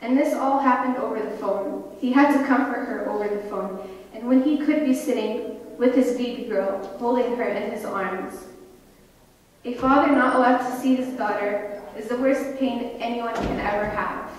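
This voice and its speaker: A woman's voice: on the high side, normal speed. A young American woman giving an impassioned prepared speech at a university event, with pointed rhetorical questions. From United States. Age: young adult. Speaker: student speaker. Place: university hall. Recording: echoey hall from far off.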